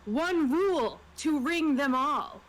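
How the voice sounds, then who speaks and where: A woman's voice: sits high, expressive, slow. Woman chatting during an online tabletop game. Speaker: player in a tabletop role-playing game. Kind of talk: casual chat over an online game.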